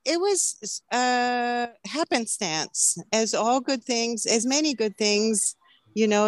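A woman's voice: mid-range voice, no echo. Woman from Chile, fifties, chatty, laughs often, dry close recording. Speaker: flower farmer, guest. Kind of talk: casual interview.

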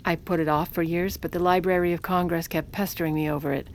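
An actress playing a scheming, power-hungry 1920s First Lady in an audio comedy, speaking in a wry, deadpan manner. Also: on the low side, fast, a little hiss or hum, lightly acted, rough recording. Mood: wry narration. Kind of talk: audio comedy drama with first-person narration.

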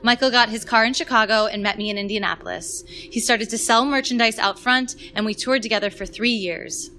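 A woman who performs in musical theatre, reading a scripted personal story aloud in a polished, expressive way. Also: on the high side, a little fast, soft background music.

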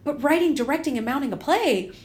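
A woman playing a brisk, bossy, businesslike small-town council representative in an audio comedy. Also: high-pitched, expressive, darkish tone. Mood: upbeat.

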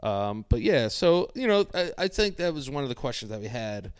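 A young man chats casually as a podcast co-host. His voice sits at a middling pitch, and the recording is dry and close, with no room sound. He rambles through stories, swears freely and acts out what other people said. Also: big pitch swings, normal speed, smooth, no room sound. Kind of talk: casual chat.

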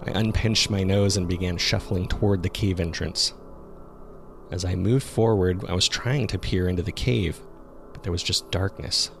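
A man playing a jogger who recounts a frightening experience in the woods, as scripted first-person horror narration told in a measured, suspenseful way. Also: low voice, steady tone, gravelly, soft background music. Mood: measured, uneasy. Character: local male jogger recounting a terrifying discovery in the woods. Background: ambient sound effects.

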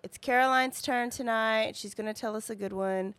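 A woman's voice: on the high side, fairly even intonation, moderate pace, a bit bright, no room sound. A woman chatting informally and casually as a podcast co-host. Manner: chatty, informal, uses y'all.

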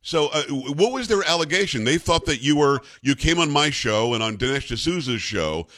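A man's voice: on the high side, expressive, moderate pace, fairly bright tone. A man hosting a talk show, interviewing a guest in a friendly, conversational way with some stumbling repeats. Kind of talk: casual interview.

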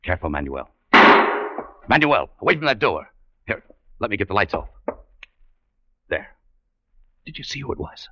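A man's voice: fairly low pitch, very animated, moderate pace, pauses often, bright, forceful tone, full character voice. A man acting a tough, wry American adventurer in a 1940s radio drama, with a laconic manner. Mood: tense, urgent. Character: tough, wry American male adventurer hunting buried gold. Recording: dull vintage 1940s radio recording.

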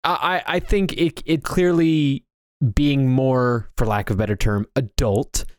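A man's voice: mid-range voice, steady tone, a little slow, clear, resonant tone, no room sound, studio quality. A man hosting a casual pop-culture discussion, talking in a loose, rambling way and often repeating himself. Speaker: podcast host. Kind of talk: casual discussion of video game movies.

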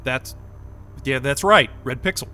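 An actor playing a nervous, disoriented job interviewee in an audio drama, hesitant, halting and defensive. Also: male voice, medium pitch, pushed and bright, faint music behind. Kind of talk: naturalistic audio drama acting.

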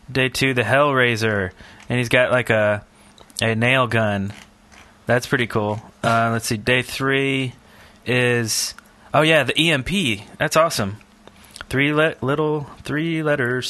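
Bright, dry-sounding man chatting loosely. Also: medium pitch, unhurried, no room sound. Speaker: podcast host. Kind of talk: casual chat, thinking aloud.

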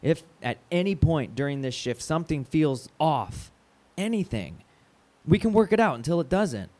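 An actor playing a placating, foul-mouthed radio station manager who talks in halting, cajoling corporate speak in an audio drama. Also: male voice, fairly high pitch, big pitch swings, mellow. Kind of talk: naturalistic audio drama acting.

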